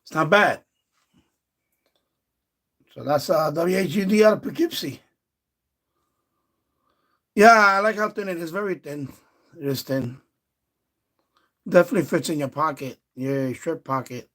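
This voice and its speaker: A man's voice: a little high, measured pace, long pauses, fairly bright tone. A man chatting casually and repetitively as he hosts a livestream demonstrating radios from indoors. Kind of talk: casual chat. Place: indoors in a basement.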